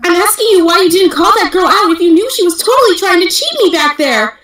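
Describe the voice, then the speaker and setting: A woman's voice: animated, fast talker, a bit bright, reverberant. A woman voicing a proud, hot-tempered young noblewoman in an anime fandub, with indignant, dramatic delivery. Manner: indignant, shrill, dramatic outbursts. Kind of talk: anime fandub script reading.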